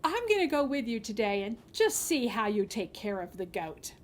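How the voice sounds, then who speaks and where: A woman's voice: fairly high pitch, very animated, moderate pace. A woman voicing a mean, suspicious sister in a fairy tale, speaking matter-of-factly.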